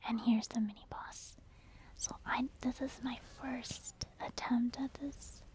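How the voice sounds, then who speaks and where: A woman's voice: on the high side, fairly even intonation, slow. Whispering woman, ASMR game commentary. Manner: gentle, informal, explanatory. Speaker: video game streamer narrating own gameplay. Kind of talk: soft-spoken ASMR whispered gameplay commentary.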